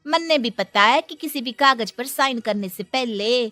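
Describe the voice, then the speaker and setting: Woman acting a feisty young nomad daughter-in-law, very high voice, sharp, sassy, cartoon story. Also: no echo. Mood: defiant. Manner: defiant, sassy, drawn-out taunts.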